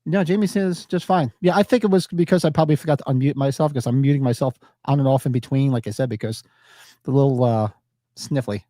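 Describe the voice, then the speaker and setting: A man's voice: medium pitch, fast talker, dark tone, dry recording, clean studio sound. A man co-hosting a casual horror podcast, sniffly from allergies, rambling with plenty of fillers. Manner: rambling, laid-back, lots of fillers. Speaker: podcast co-host.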